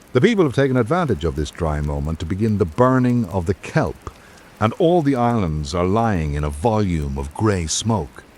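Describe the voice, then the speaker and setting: A man reading scripted literary narration in a measured, observational manner. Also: on the low side, darkish tone. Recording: background noise and ambience.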